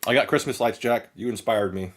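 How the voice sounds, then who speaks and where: A man joining a livestream as a guest, chatting casually in a friendly, quick way. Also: medium pitch. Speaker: panel guest on the stream.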